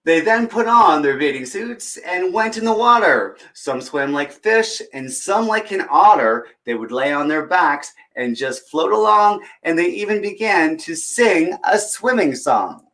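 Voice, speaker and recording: Higher-pitched man reading a children's story. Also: lively intonation, measured pace, few pauses, bright, forceful tone. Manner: encouraging, talks directly to young listeners. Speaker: children's story time reader. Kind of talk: read-aloud picture book storytelling with chatty asides.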